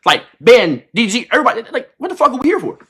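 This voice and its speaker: High-voiced, fast-talking young male streamer. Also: breathy edge. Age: 20s. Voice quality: slangy, swearing speech. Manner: animated, repetitive retelling with acted-out quotes. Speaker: livestreamer. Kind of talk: casual reaction-stream storytelling to chat.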